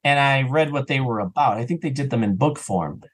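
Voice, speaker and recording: A man talking as a podcast guest in a casual, enthusiastic and animated way, slipping into imagined remarks as an audio drama creator. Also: medium pitch, a little fast, husky. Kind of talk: casual enthusiastic interview chat.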